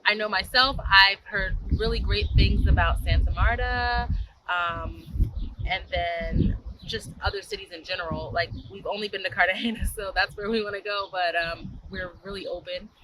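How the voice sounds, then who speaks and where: A woman's voice: mid-range voice, moderate pace, background noise. An American woman chatting casually and bubbly about moving abroad, with lots of "like". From United States. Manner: bubbly, uses fillers like "like". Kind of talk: casual personal account.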